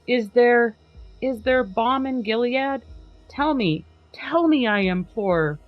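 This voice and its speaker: Slow dramatic woman reading poetry. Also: on the high side, muffled recording, faint music behind. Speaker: podcast host. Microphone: video call.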